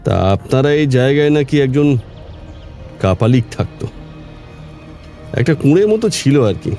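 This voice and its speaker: Guy as relaxed cop explaining stuff, dark soft tone, faint music, horror radio play. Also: medium pitch, close to an everyday voice. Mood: calm, informative. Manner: conversational, unhurried storytelling. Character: calm, chatty police officer explaining his findings. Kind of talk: horror audio drama acting.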